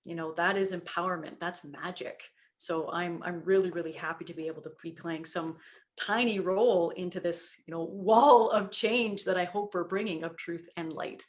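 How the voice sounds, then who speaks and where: A woman speaking earnestly on a panel discussion about children's books, reflective and steady, with frequent "you know" fillers. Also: lowish voice, normal speed. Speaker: children's book creator on a panel.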